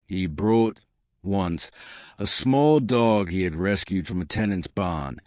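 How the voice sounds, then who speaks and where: Low-voiced, expressive male storyteller. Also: dry recording, clean studio sound. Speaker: audiobook narrator. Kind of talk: scripted narration of a historical romance story.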